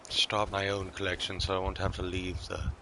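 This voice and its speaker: A man streaming live in-character roleplay as a bookish, reclusive high elf mage in a fantasy game. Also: completely flat delivery, moderate pace, background noise.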